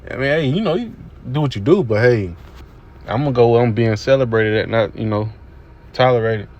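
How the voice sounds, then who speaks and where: A man's voice: mid-range voice, expressive, moderate pace, a little mellow, lo-fi sound. A man giving casual religious commentary over video clips, laid-back and repetitive, with many fillers. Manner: laid-back, repetitive with "you know what I'm saying".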